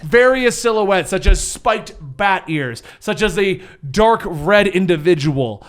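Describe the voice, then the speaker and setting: A man narrating as game master of a casual tabletop game, in an animated, jokey storyteller's manner. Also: sits high, slow pace.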